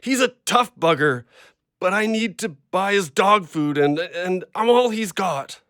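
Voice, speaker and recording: Blunt, high-voiced ex-logger. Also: male voice, lively intonation, unhurried, fairly bright tone, no echo, studio quality. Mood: rambling, proud. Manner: blunt, rambling, plain-spoken. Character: agitated, hard-up injured ex-logger devoted to his dog. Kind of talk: audio play acting.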